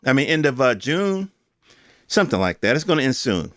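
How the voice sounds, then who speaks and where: A man's voice: medium pitch, very animated, fairly quick, fairly bright tone, no room sound. Man, fifties, American accent, casual ranting podcast host, emphatic and slangy, very dry sound. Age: 50s. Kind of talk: opinionated solo monologue, casual rant.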